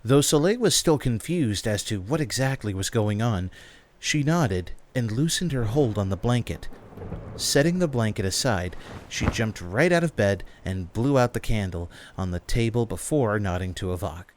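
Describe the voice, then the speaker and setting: A man's voice: on the low side, expressive, normal speed, slight background noise. A man reading scripted story narration as the narrator of an audio drama. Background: music.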